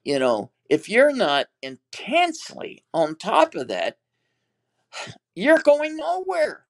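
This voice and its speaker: A man's voice: very high voice, wildly swooping pitch, takes it slowly, gravelly. An older man with a Texas accent, a former TV producer, recalling his career in an interview with many fillers and restarts. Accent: Texan. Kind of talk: reflective interview talk.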